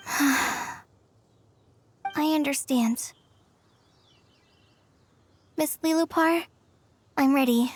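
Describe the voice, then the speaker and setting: An actress playing a gentle, humble young woman in a video game, speaking in an earnest, polite and hesitant way. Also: sits high, very slow, pauses often, slight background noise. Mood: quiet resolve.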